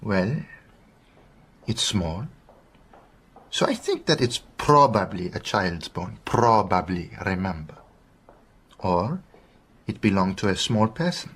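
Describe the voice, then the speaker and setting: An actor playing a genial, cautious doctor in a radio drama, speaking in a courteous, measured way with a Southern African accent. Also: male voice, sits low, big pitch swings, fairly bright tone, breathy edge, exaggerated voice. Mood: thoughtful, precise. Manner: courteous, measured, precise phrasing.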